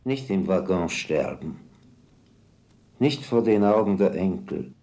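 A man's voice: low voice, lively intonation, slow pace, mellow, heavily acted. An actor playing a despairing old deported man who addresses an accusing monologue to God. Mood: anguished, accusing. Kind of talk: slow dramatic monologue.

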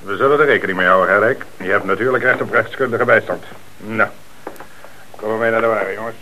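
A man acting a calm, confident police commissioner with measured authority in a Dutch radio play. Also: lowish voice. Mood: calm, official. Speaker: actor in a radio crime play. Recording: noisy, band-limited old radio recording.

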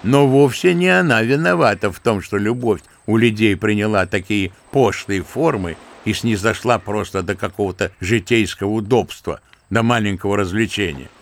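Old sentimental general for an audio drama, gravelly, fatherly and passionate, played by an actor. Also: fairly low pitch, moderate pace, hardly any pauses, close to an everyday voice. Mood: musing fervent. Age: older. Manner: fervent, musing, fond and paternal. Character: old kindly sentimental general, grandfather figure. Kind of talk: theatrical radio play acting.

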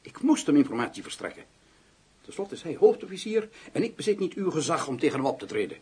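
An actor playing a cautious, testy, self-justifying official of about sixty in a radio drama, formal, with a husky voice. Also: male voice, a little high, sing-song, fast talker, darkish tone, exaggerated voice. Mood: defensive. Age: 60s. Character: aggrieved, cautious sixty-year-old male official nearing retirement. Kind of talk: Dutch radio drama acting.

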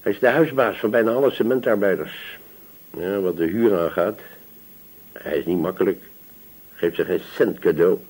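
A man's voice: low voice, moderate pace, long pauses, darkish tone, husky, rough recording. A man acting an obliging, gossipy village police sergeant in a radio drama, chatting deferentially with small hesitations. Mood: confiding.

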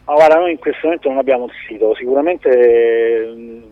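A man's voice: mid-range voice, fairly quick, no echo. A man speaking as a film producer in a radio interview over a remote link, searching for his words in a reflective way. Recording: dull band-limited phone-like radio link.

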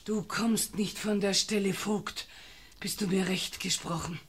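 A woman's voice: mid-range voice, steady tone, a little slow, breathy edge. An actress playing a poor, desperate peasant mother in a classic radio play, pleading and impassioned. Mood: defiant.

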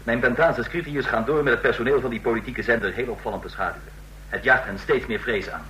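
An actor playing a pointed, mocking bureau chief in an old Dutch radio drama, speaking formally. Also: male voice, mid-range voice, fast, pushed and bright, natural voice, rough recording. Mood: pointed, sardonic. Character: quick, needling regime bureau chief. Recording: old radio recording with faint hum.

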